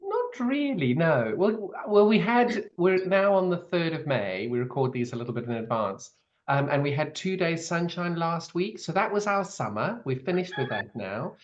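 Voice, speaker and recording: A man's voice: on the high side, sing-song, normal speed, dull audio. A male quilting show host born in Zimbabwe, living in the UK, chatting with a guest over a call. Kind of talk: video call interview.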